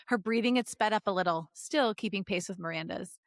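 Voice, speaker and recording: A woman with a mid-pitched voice reads a story aloud as a podcast host. She is playful and drops into jokey asides. The sound is very dry, with hardly any room on it. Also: brisk, no echo. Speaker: podcast host reading fan fiction aloud. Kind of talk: story reading mixed with joking banter.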